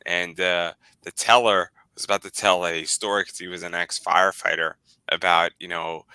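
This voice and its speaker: A man's voice: low-pitched, level tone, normal speed, sharp tone, clear, resonant tone, no room sound. A man in his twenties hosting a casual video-call chat, rambling and repeating words. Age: 20s. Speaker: podcast host.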